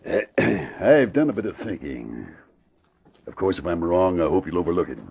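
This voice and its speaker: An actor playing a cautious, suspicious police inspector in an old-time radio crime drama, speaking hesitantly and deliberately. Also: male voice, deep voice, animated, creaky, slightly rough, full character voice. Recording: dull old radio transcription.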